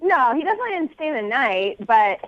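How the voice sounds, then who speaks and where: A woman's voice: sits high, sing-song, fairly quick, no room sound. A young woman phoning in, chatting casually in a girlish, filler-heavy way. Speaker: phone-in caller. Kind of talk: casual chat. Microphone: phone. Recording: dull, lo-fi phone line.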